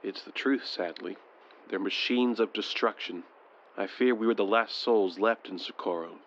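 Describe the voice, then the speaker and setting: A man's voice: on the low side, moderate pace, fairly bright tone, muffled recording. A man playing a polite, doubtful civilian survivor in an audio drama, with a formal, wry manner. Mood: shaken, sombre.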